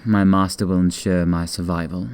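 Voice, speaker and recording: An actor playing a sly, mock-polite asylum lunatic who serves a dark master, in an audio drama. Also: male voice, sits low, little pitch movement, moderate pace, smooth. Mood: taunting, evasive. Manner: theatrical, mock-polite, taunting drawl.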